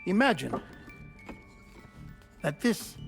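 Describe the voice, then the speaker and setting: An actor playing an eccentric, wistful male scientist in a game cutscene, speaking in a measured, theatrical way with lingering pauses. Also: high-pitched, sing-song, creaky, soft background music, heavily acted. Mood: measured, explanatory. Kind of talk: dramatic game cutscene monologue.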